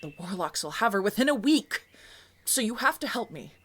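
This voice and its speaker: A woman's voice: medium pitch, sing-song, moderate pace. A woman playing a loyal, urgent palace informant in a fantasy audio drama, speaking in an earnest, conspiratorial way. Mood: pleading.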